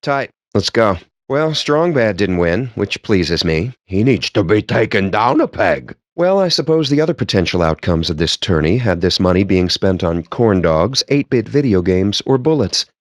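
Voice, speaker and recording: A man's voice: on the low side, lively intonation, normal speed, few pauses, dry recording, clean studio sound. A man voicing a wry, pompous, foul-mouthed gamer geek in video game dialogue, with a sardonic, wordy and theatrical delivery. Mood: smug, relaxed.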